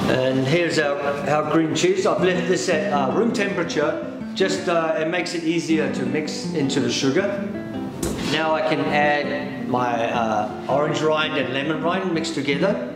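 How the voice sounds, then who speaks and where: Echoey, higher-pitched man teaching pastry. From England-born, raised in New Zealand. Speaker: pastry chef demonstrating a recipe. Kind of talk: step-by-step cooking demonstration. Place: indoor demonstration kitchen. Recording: very echoey room with loud music behind. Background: loud background music.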